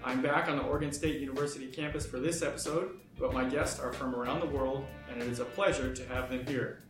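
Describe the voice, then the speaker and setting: A man's voice: mid-range voice, fairly even intonation, quick pace, reverberant, music in the background. A man hosting a podcast, welcoming listeners and introducing student guests in an upbeat way. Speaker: podcast host. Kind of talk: scripted podcast intro and interviewing.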